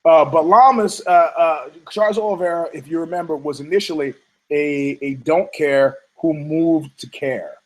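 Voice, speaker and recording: Male podcast host, hoarse and husky, slightly high-pitched, loose and profane, rambling monologue. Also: moderate pace, poor audio. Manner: rambling, profane, frequent restarts.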